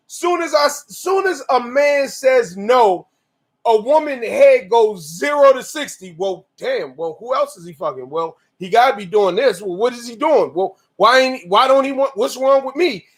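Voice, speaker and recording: High-voiced, profane man in his forties. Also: normal speed. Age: 40s. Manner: animated, profane, slangy, bursts into shouts. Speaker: podcast co-host. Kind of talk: candid casual chat.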